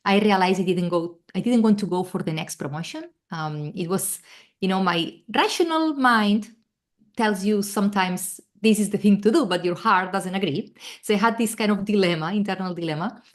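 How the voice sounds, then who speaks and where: A woman's voice: mid-range voice, normal speed. A middle-aged woman from Spain with a Spanish accent, talking earnestly as a guest on a video call with many fillers and restarts. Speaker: guest, travel coach. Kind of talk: casual interview chat.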